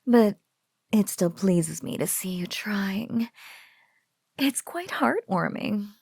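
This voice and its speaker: A close, dry, breathy female spider monster acted by a woman in an intimate audio roleplay. She coos slowly and possessively over her captive, teasing and doting, her drawl often sinking into whispers. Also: medium pitch, expressive, darkish tone, dry recording, heavily acted. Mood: tender, musing. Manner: teasing, drawling coo with giggles.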